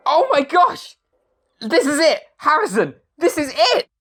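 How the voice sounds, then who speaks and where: A woman's voice: high voice, big pitch swings, a little slow, fairly bright tone, soft background music, full character voice. A woman playing an impatient, sardonic dead soul in an audio drama. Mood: excited. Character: impatient, sardonic dead person awaiting afterlife.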